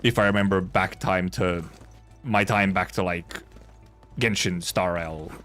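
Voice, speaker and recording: A man's voice: low voice, fairly even intonation, a little slow, fairly bright tone, faint music behind. A man livestreaming a game, chatting casually in a rambling, blunt, sweary way. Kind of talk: casual gaming stream chat.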